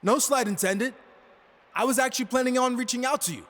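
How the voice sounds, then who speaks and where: An actor playing an earnest, defiant young pro wrestler giving a promo speech in a video game cutscene. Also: male voice, extremely high pitch, fairly quick, a bit bright. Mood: apologetic. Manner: addresses a crowd in announcement style.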